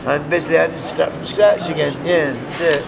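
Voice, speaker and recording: A man's voice: fairly high pitch, steady tone, quick pace, far from the mic, crowd noise, poor audio. A middle-aged man telling his personal story casually, with some hesitation as he pieces it together. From Scotland. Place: outdoors in a town by traffic. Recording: outdoors, off-mic, clear traffic noise.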